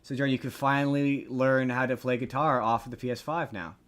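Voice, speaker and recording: A man hosting a podcast, chatting casually through entertainment news in a rambling way with animated asides. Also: mid-range voice, a little fast. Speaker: podcast host.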